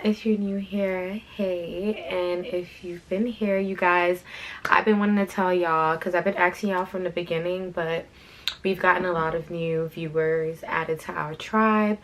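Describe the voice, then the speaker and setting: A young woman talks in a casual, slangy story-time ramble, full of "like" and "you know what I'm saying." Her voice sits a little low and carries a light rasp from being sick. She chats while doing her hair. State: slightly raspy from being sick. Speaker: fashion channel host.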